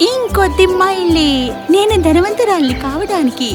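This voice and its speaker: Very high-pitched dreamy milkmaid girl. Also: normal speed, loud music behind the voice. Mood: scheming, excited. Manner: excitable, wistful musing aloud. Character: dreamy, boastful young village milkmaid girl. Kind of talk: animated children's fairy tale acting.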